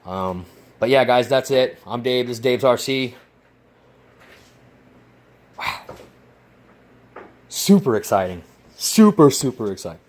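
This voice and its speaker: A man vlogging casually about his model plane build, rambling and swearing with excitement. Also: mid-range voice, slow pace, long pauses. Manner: rambling, profane, enthusiastic. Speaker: RC hobby vlogger. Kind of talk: casual workshop vlog.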